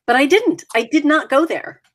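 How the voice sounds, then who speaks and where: A woman's voice: high-pitched, expressive, normal speed. A woman co-hosting a casual chat in a playful way, joking and teasing. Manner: playful, slips into mock accents. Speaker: co-host.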